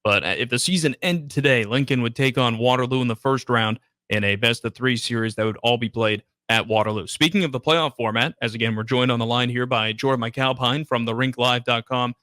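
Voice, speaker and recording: Radio host guy talking sports, bright punchy voice, real dry studio mic, conversational. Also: medium pitch, a little fast, dry recording.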